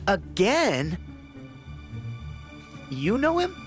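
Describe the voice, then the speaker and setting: A man's voice: sits high, wildly swooping pitch, drawn-out words, a bit bright, faint music behind, full character voice. A man playing an earnest, plucky teenage boy hero in a video game, blunt and casual in manner. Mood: wary, defiant. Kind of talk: video game cutscene acting.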